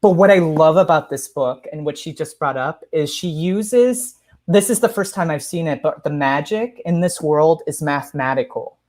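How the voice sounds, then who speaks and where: A man's voice: on the high side, expressive, moderate pace, darkish tone. Effusive young man chatting casually. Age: young adult. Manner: effusive, peppers talk with "like". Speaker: podcast co-host. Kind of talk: casual interview chat. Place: indoor room at home, video call. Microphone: video call, headphones worn.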